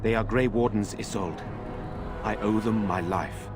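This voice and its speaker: Actor as a dutiful young noble knight: earnest, lowish voice, loud score behind. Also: male voice, a little slow, over music, close to an everyday voice.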